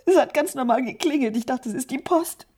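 An actress playing a scheming, manipulative wife in a radio crime drama, coaxing between pleading and breathy whispers. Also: female voice, sits high, animated, brisk, a little mellow. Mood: tearful, frightened. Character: scheming, manipulative wife urging her lover toward murder.

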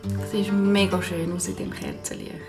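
A woman's voice: mid-range voice, little pitch movement, normal speed, music in the background, natural voice. An actress playing an easygoing, flirty, sensible middle-aged woman with a Swiss accent in a radio drama. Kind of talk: naturalistic radio drama acting.

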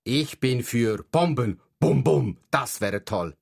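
A man's voice: medium pitch, lively intonation, takes it slowly, gravelly, breathy edge, exaggerated voice. A man voicing a bold schoolboy who wants danger and action, brash and dismissive.

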